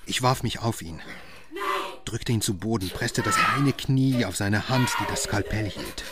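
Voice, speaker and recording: A man playing a grim, haunted husband and father, narrating an audio horror drama in measured phrases. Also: on the low side, very noisy. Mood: frantic. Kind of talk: scripted first-person horror narration.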